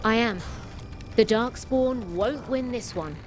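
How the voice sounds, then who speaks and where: A woman's voice: a little high, slow, slight background noise. An actress playing a defiant, confident woman warden in a video game. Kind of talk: dramatic video game acting.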